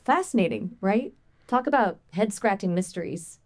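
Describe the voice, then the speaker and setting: A woman's voice as a conversational AI assistant, chatting casually in a playful, expressive, colloquial way. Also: mid-range voice, normal speed.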